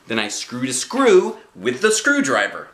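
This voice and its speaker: Male storybook narrator, dad reading aloud: bright, highly animated, roomy sound. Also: a little slow, slight background noise.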